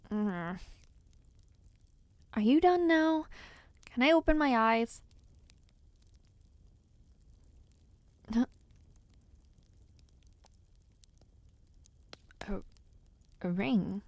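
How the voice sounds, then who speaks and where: A woman voicing a playful, affectionate young noblewoman knight in an audio roleplay, speaking softly and teasingly and often dropping into whispers. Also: on the high side, lively intonation, slow pace, pauses often, no echo. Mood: tender, surprised. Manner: soft, teasing and intimate, drops to whispers.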